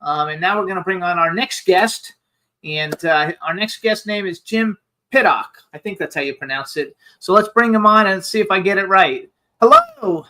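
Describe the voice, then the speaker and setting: A man hosting a live celebrity interview show, talking in a chatty, rambling, excitable way. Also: high voice, normal speed. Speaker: talk show host.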